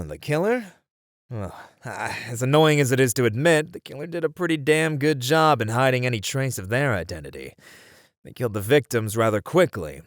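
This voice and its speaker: A weary male detective, played by a man with a fairly low voice, recorded dry and close. He thinks aloud in a casual, musing manner, as in a one-sided ASMR audio roleplay. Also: no echo, lightly acted. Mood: grudgingly impressed. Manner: casual, musing, sighing delivery. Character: weary male detective puzzling over a serial killer case.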